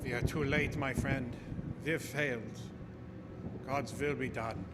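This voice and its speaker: A man's voice: mid-range voice, steady tone, slow, lightly acted. Male actor for solemn, foreboding professor in stage radio horror, emphatic and portentous, long reverb, noisy background. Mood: resigned. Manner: portentous, emphatic, clipped phrases. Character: ominous, solemn professor, male vampire expert. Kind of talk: old-time radio horror drama acting. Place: live broadcast from a theater. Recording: reverberant theater stage, clear background noise.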